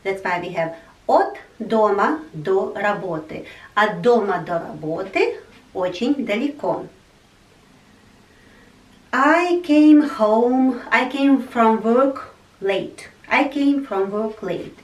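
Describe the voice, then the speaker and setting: Woman teacher, slow patient delivery, repeats examples, echoey room, voice a little off-mic. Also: a little high, lively intonation, far from the mic. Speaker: language teacher. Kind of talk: language lesson.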